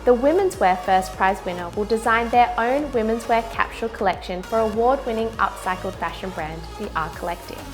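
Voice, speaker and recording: A woman's voice: a little high, a little fast, hardly any pauses, music in the background. A woman narrating a scripted promotional introduction in a polished delivery.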